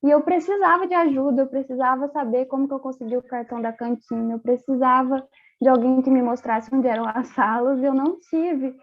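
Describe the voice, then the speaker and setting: A woman's voice: high voice, fairly even intonation, a little fast. A young Brazilian woman chatting as an interview guest, laughing often and talking with many fillers. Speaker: interview guest, former exchange student. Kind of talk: casual interview chat. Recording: tinny phone-like audio.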